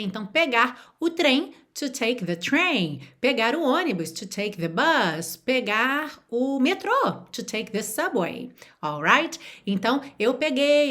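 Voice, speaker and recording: Lively woman teaching online. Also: on the high side. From Rio de Janeiro, Brazil. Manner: slows right down to model and repeat example phrases; encouraging, speaks straight to the viewer. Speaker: language teacher. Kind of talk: online video lesson.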